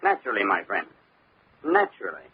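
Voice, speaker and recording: An actor playing a cold, mocking killer with a gun in an old radio drama, taunting and deliberate. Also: male voice, on the low side, huge pitch swings. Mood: smug menace. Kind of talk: 1940s radio acting. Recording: muffled, noisy old radio recording.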